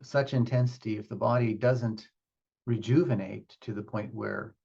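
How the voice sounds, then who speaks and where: A man teaches meditation informally, feeling his way through explanations with reflective pauses and restarts. His voice has plenty of gravelly fry and is a little husky, and the recording sounds somewhat muffled. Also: lowish voice, fairly even intonation, mellow. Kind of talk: unscripted spiritual teaching with group questions.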